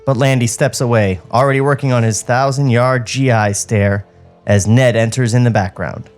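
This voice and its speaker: A man's voice: medium pitch, steady tone, moderate pace, soft background music. A man hosting a podcast, reading stage directions as comic narration and dropping in wry asides.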